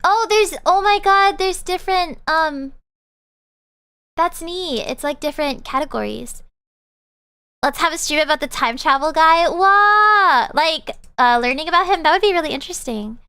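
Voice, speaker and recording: A woman's voice: very high-pitched, normal speed, sharp tone, no echo. A young woman streamer chatting casually and rambling with lots of fillers while she winds down her stream. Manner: bubbly, rambling with many fillers. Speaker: VTuber streamer. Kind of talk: casual live-stream chat.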